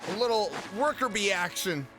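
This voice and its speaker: High-voiced man streaming, slow and animated. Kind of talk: casual game commentary. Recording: clear game music behind. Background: game music.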